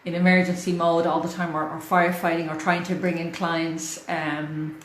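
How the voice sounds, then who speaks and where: A talkative Irish woman in her forties chats casually to her viewers on a livestream, laughing easily as she goes. Her voice sits a little low. The room is echoey, with a faint fan humming behind her. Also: little pitch movement, normal speed, a little hiss or hum. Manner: chatty, warm-hearted, laughs often. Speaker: host. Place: hot room in a house. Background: fan running.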